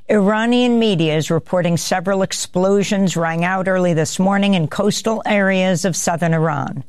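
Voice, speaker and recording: An older woman hosting a news show, reading the headlines in a measured, steady way into a studio mic. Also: medium pitch, no echo. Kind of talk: news headlines read from script.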